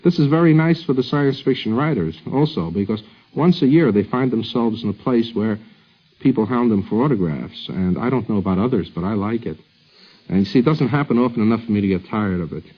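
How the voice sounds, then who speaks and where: Husky low-voiced man, dull old recording. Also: lively intonation, fairly quick. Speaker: science fiction writer being interviewed. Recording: dull 1950s radio recording.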